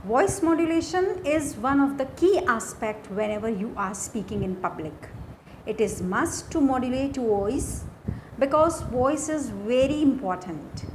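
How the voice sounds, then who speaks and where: Female lecturer, Indian accent, fairly high voice, very unhurried lesson narration in short phrases. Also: poor audio. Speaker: English lecturer. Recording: faint background noise.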